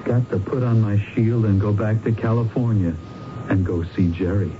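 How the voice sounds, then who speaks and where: An actor playing a crooked, cynical young investigator in a radio crime drama, blunt, slangy and impatient. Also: male voice, fairly low pitch, moderate pace, over loud music, natural voice. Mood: firm. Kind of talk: old-time radio drama acting. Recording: muffled, noisy old radio broadcast.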